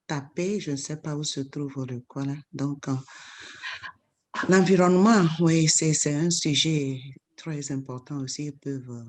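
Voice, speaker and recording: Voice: medium pitch, measured pace. Teacher chiming in with short remarks. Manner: short interjections and backchannels. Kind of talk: casual discussion in a video meeting.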